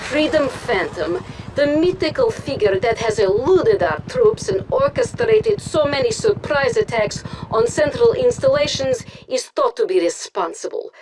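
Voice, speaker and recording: A woman's voice: a little high, noisy background. A woman playing a polished Soviet propaganda television anchor, reading the news in a formal announcer manner in a video game. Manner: formal, crisp announcer delivery. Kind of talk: scripted TV newscast in a video game.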